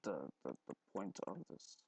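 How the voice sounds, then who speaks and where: A man hosting a casual, unscripted screen tutorial, haltingly repeating words and muttering to himself, recorded on a headphone mic at his neck. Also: a little mellow. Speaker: tutorial host. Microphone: headphone mic held at the neck.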